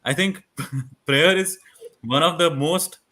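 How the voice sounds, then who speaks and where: Loud-voiced Indian man giving advice. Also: on the high side, slow. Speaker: guest expert on moot court. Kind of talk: conversational advice in an online workshop.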